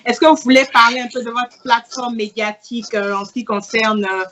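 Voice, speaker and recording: A woman's voice: on the high side, fairly even intonation, brisk, a bit bright. A woman hosting an online interview, asking polite, slightly hesitant questions. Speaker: interviewer host.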